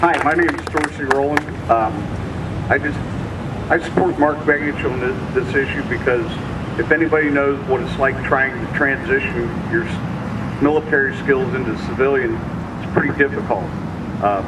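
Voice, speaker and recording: A plain-spoken army veteran gives a short statement and stumbles a little as he starts. His voice is mid-pitched. He is distant from the mic, and heavy outdoor noise nearly covers him. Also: steady tone, moderate pace.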